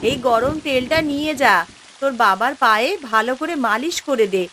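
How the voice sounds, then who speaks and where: Woman acting a high-voiced anxious mother. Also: moderate pace, fairly bright tone, a little hiss or hum. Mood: caring. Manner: plaintive, fretful. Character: anxious, caring village wife and mother. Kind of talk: Bengali fairy-tale cartoon dubbing.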